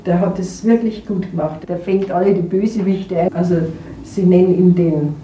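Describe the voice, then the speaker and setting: A woman's voice: on the low side, steady tone, moderate pace, dark tone. A woman talking casually and hesitantly, searching for words and repeating herself. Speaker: interviewee. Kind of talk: casual spontaneous talk. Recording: distant, echoey room with faint noise.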